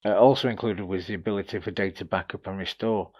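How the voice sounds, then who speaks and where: A man's voice: sits low, steady tone, quick pace, a little mellow, dull audio. A man giving a casual, informal product review, explaining features and tips in a slightly hesitant way. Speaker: gear reviewer presenting a demo.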